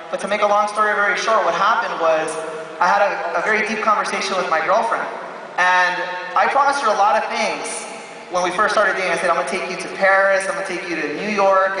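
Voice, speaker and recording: A man in his late twenties giving an informal, energetic motivational talk on stage, chatting to his audience and telling stories. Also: sits high. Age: late 20s. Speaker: motivational speaker at a company event. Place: large event hall with live audience. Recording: echoey hall, distant mic, crowd noise.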